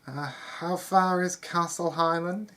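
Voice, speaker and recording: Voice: mid-range voice, fairly even intonation, slow, sharp tone, pitched above the performer's own voice. A narrator as a scared, pleading teenage boy. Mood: confused. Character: confused, scared eighteen-year-old youth, pleading younger brother.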